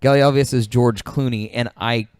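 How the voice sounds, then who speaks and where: A man's voice: medium pitch, steady tone, normal speed, a little mellow, smooth, no room sound, clean studio sound. A man hosting a casual podcast, talking in a laid-back, slangy way with some swearing. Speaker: podcast host reading out listener suggestions.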